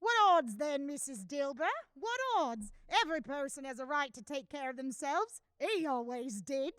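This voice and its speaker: Woman playing a high, brazen laundress. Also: normal speed, no echo, clean studio sound. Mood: brazen scorn. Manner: brash, animated, theatrical. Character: brazen, scornful working-class laundress. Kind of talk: radio drama acting.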